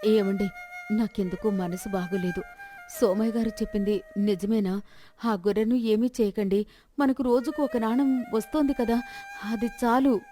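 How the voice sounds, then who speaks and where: Woman as anxious village wife, gentle, pleading, moral story drama, clear music behind. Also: mid-range voice, fairly even intonation, normal speed, natural voice.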